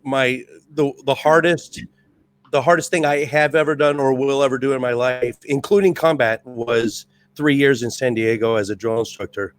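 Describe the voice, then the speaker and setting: Man, middle-aged, raspy, intense, amped-up, casual chat, middle pitch. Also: fairly even intonation, moderate pace. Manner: intense, amped-up, restless talker. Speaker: podcast guest, retired Marine first sergeant.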